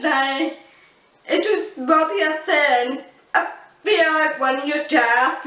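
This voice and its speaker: A woman in her twenties with a deaf accent, chatting slowly to camera and stressing her sounds strongly with an open mouth. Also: high-pitched, bright, forceful tone, distant mic. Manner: slow, earnest, with frequent ums. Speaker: vlogger. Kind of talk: casual storytelling to camera. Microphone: built-in camera mic. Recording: roomy, off-mic camera audio.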